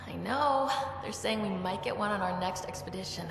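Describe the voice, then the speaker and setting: Wry, gravelly young woman explorer, acted. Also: mid-range voice, moderate pace, in a large hall, close to an everyday voice. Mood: eager. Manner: wry, dry-humored. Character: young woman explorer, wry, easygoing space expedition leader. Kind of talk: video game cutscene acting.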